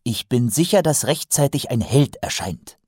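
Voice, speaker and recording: A man's voice: mid-range voice, animated, moderate pace, darkish tone, slightly rough, dry recording, studio quality, pitched above the performer's own voice. A man voicing an earnest, naive and eager young watch recruit in an audiobook, with a matter-of-fact manner. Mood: earnest, confident. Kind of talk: audiobook character voice.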